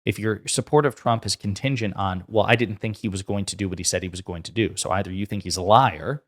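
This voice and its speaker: A man with an American accent and a fairly low voice hosts a political commentary show. He speaks casually and argues his points with emphasis. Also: fast. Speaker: host commentator.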